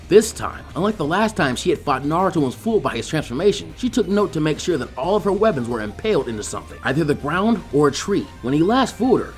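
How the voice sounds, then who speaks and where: A man narrates an action story in a high, lively voice that moves around a lot in pitch. He reads scripted prose as an audiobook, with faint music behind him. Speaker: fan fiction reader.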